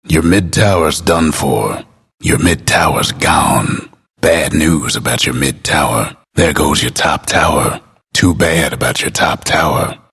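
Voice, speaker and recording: An actor playing a laconic, folksy, world-weary male game announcer with an American accent and a gravelly, husky voice. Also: very deep voice, fairly bright tone, full character voice. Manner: folksy, unhurried, understated. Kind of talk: scripted game announcer lines.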